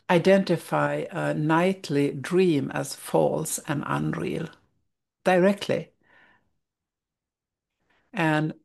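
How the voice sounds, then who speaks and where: Slow, gravelly Swedish-accented woman. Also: low voice. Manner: thoughtful, searching for words, soft restarts. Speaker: spiritual study teacher. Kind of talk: reading aloud and reflecting on a spiritual text.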